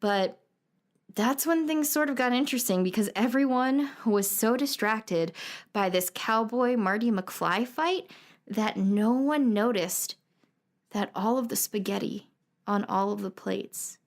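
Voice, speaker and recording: A woman's voice: a little high, measured pace. A woman telling an anecdote casually, in a meandering, hesitant, conversational way. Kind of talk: improvised casual storytelling.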